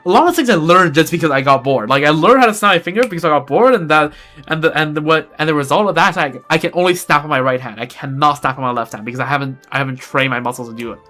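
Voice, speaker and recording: A man's voice: a little high, fast, sharp tone, soft background music. A young man chatting casually while playing a game on a livestream, rambling with lots of restarts and self-deprecating asides. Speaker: streamer. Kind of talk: casual gameplay livestream chat.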